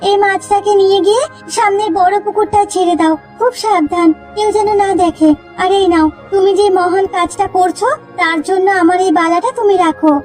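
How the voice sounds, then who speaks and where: A gentle, principled young sister-in-law from a cartoon, voiced by a woman. Her voice is extremely high but soft and mellow, and she talks with earnest calm. Light music sits behind her. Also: brisk. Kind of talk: Bengali cartoon voice acting.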